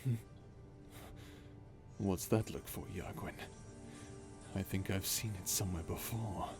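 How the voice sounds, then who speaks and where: A man playing a sinister, taunting space pirate villain in an audio roleplay, talking slowly with menace and mockery. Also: sits low, music in the background. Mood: menacing, taunting. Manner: slow, menacing, mocking. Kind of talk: ASMR audio roleplay drama.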